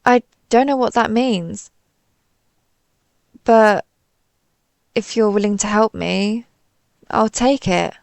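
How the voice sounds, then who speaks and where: A woman's voice: mid-range voice, takes it slowly, gravelly, pauses often, darkish tone, dry recording. A woman playing a timid, suspicious alien pizza slice who rambles hesitantly and puts herself down in a scripted audio roleplay. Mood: baffled. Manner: hesitant, rambling, self-deprecating.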